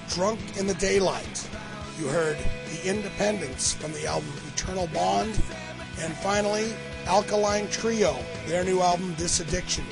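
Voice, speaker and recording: A man's voice: high voice, gravelly. A male podcast host casually wrapping up a music segment. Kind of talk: segment outro.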